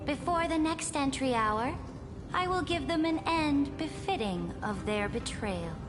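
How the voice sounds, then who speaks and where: An actress playing an imperious, calculating female emperor in a video game, regal, measured and commanding. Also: high voice, lively intonation. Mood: cold command. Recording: long hall reverb, music underneath.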